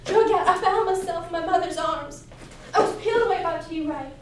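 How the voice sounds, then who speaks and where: Guilt-ridden runaway girl, fourteen played by a woman: high, intense, theatrical stage monologue in a very echoey room. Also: moderate pace. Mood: frantic. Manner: intense, theatrical first-person storytelling. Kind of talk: dramatic interpretation monologue. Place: indoor competition room, distant camera. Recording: echoey room from far off, noisy.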